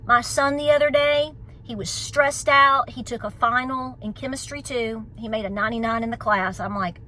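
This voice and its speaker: A woman's voice: fairly high pitch, fairly even intonation, normal speed, bright, forceful tone, a little hiss or hum, rough recording. A middle-aged woman with a Southern Appalachian accent, giving an emphatic, folksy pep talk in a casual vlog style. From United States. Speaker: homesteading vlogger.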